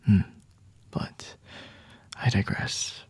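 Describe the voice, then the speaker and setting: A man playing a flirty, aloof, high-ranking vampire in an ASMR roleplay, speaking in a breathy, hushed whisper close to the mic with haughty, seductive pauses. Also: no echo. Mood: teasing, smug. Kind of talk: ASMR roleplay, scripted monologue to the listener. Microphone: close-up microphone.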